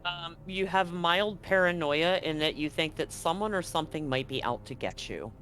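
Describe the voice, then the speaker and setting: A man's voice: fairly high pitch, normal speed, sharp tone, a little hiss or hum. A man acting as game master, casually narrating a tabletop roleplaying game with bantering, rambling asides.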